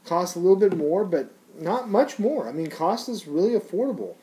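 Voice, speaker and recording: A man's voice: high voice, very animated, normal speed, mellow, poor audio. A man giving a casual, unscripted product review in a rambling, conversational, slangy way.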